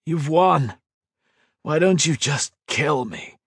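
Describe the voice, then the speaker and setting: A man's voice: a little high, lively intonation, slow, breathy edge, no echo, studio quality, pitched above the performer's own voice, exaggerated voice. A male narrator voicing a wounded young hero who answers his captor in a strained, defiant way. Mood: pleading.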